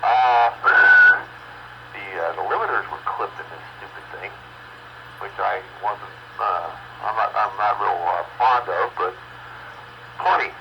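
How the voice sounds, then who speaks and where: A man of middling pitch talks casually over radio with lively, swinging intonation. He grumbles and hesitates as he goes. A stock hand microphone and clear noise mark the transmission. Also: unhurried. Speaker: amateur radio operator. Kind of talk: casual on-air radio chat. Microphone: cheap stock radio microphone.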